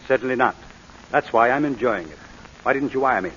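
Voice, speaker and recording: Fast-talking suave crook played by a man. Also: mid-range voice, animated, a bit bright, natural voice. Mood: suave, teasing. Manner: suave, formal, calculating. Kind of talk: 1940s radio acting. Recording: noisy muffled vintage 1940s radio recording.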